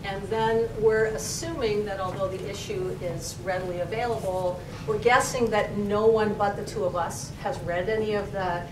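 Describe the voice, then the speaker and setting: A woman English professor talks through her subject informally and corrects herself as she goes. She is picked up from some distance in an echoey library room. Steady noise and a low rumble sit behind her voice. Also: normal speed, few pauses. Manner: informal, thinking aloud with frequent self-corrections. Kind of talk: conversational lecture. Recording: roomy, distant and noisy, with rumble.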